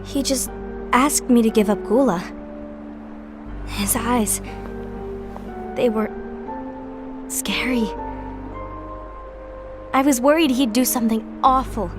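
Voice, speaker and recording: A woman's voice: on the high side, slow, pauses often. An actress voicing an anxious, protective young woman in a video game cutscene, with music behind. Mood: worried. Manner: soft, worried. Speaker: game character.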